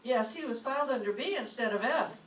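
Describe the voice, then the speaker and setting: Woman acting a wry, high-voiced wisecracking schoolteacher. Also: expressive, fairly quick, lightly acted. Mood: deadpan, helpful. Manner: dry, quippy. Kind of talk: live old-time radio comedy reenactment. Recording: echoey stage, distant mic, faint noise.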